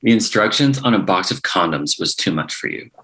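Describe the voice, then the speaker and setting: A man's voice: deep voice, lively intonation, brisk, pushed and bright, exaggerated voice, rough recording. A man acting an argumentative, indignant progressive son at a family dinner, incredulous and combative, in a comedy table read.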